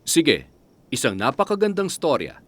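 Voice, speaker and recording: A man playing a patient parent in a children's cartoon, telling bedtime stories with an expressive storybook delivery. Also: a little high, measured pace, full character voice. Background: music.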